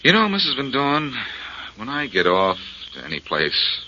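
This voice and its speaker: An actor playing a cool, crafty amateur detective in a 1940s radio drama. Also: male voice, medium pitch, wildly swooping pitch, moderate pace, sharp tone, exaggerated voice. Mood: probing. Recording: dull, noisy vintage radio recording.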